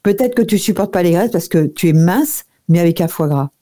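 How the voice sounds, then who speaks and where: A woman's voice: lowish voice, animated, brisk, mellow. A woman giving direct, familiar nutrition advice on a radio show. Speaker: nutrition expert guest.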